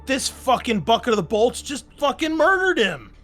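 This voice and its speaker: Man doing an irate, raised-pitch woman marine. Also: sing-song, normal speed, full character voice. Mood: furious. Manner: loud, explosive swearing. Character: irate, foul-mouthed female marine.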